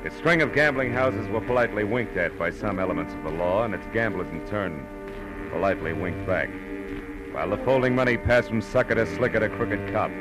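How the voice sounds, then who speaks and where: Casting: man playing hard-boiled gumshoe for vintage radio noir, low register, wry, clipped and slangy, music underneath. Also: lightly acted, rough recording. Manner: clipped, slangy, tough-guy delivery. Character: hard-boiled male private detective, wry and world-weary. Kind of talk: 1940s-50s radio drama acting and first-person noir narration. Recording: old radio broadcast, music behind.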